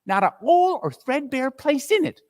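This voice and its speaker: A performer putting on a brazen, high-swooping scavenger. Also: sing-song, normal speed, darkish tone. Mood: gleeful, brazen. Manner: brazen, crowing, colloquial. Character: gleeful greedy scavenger woman robbing a dead man's belongings.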